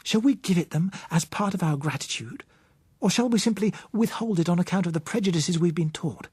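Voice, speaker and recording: An earnest young woman from an audiobook novel, as a male reader puts her on, with a raised, husky voice. She is tender and persuasive, stressing her words as she pleads. The sound is close and dry. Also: sits high, brisk, darkish tone, no room sound, voice pushed up. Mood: earnest conviction. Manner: pleading, emphatic.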